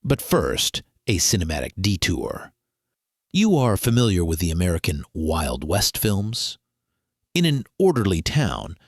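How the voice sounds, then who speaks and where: A man acts out a pompous master detective in a mystery drama, his voice low and husky. He speaks slowly and formally, with deliberate diction and lively swings of pitch. He is coolly precise and commanding. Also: no room sound, studio quality, heavily acted. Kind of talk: scripted mystery audio drama.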